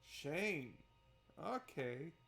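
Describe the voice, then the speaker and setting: A man's voice: fairly high pitch, big pitch swings, extremely slow, vocal fry, fairly bright tone, faint music behind. A man hosting a visual novel playthrough, reading narration aloud and adding casual, wry asides. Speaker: gaming video host.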